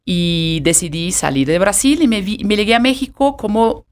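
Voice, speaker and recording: A woman in her forties from Brazil, speaking Spanish with a Brazilian Portuguese accent as a podcast guest, talking in a halting way with many fillers and restarts. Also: mid-range voice. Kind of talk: conversational podcast interview.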